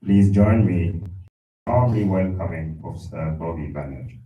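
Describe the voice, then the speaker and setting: A man chairing a conference, introducing the keynote in a measured, formal way with hesitant fillers. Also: low voice, phone-quality sound, slight background noise. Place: large conference hall. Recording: echoey hall from a distant mic.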